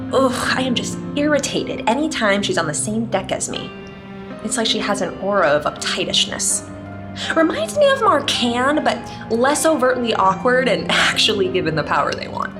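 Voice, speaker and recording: A woman's voice: mid-range voice, lightly acted. A woman playing a sardonic, casual cyber-security specialist recording a personal log in a sci-fi audio drama. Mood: irritated. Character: wry, sharp female cyber-security specialist keeping a log. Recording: music bed under the voice.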